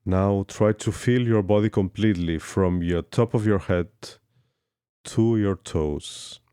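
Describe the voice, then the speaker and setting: Very deep, slow male meditation guide. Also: warm tone, clean tone, no room sound, clean studio sound. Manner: slow, soothing instructions with long pauses. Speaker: yoga instructor. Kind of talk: guided yoga nidra meditation.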